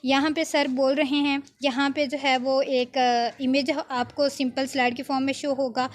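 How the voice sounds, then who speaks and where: A woman giving an informal software tutorial, explaining step by step with frequent check-in tags. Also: high voice, flat delivery, moderate pace. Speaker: video editing instructor.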